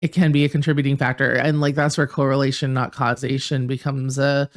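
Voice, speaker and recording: A woman's voice: extremely low pitch, flat delivery, brisk. A woman from Canada with a nasal voice, co-hosting a podcast in a joking, self-mocking casual chat. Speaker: podcast co-host.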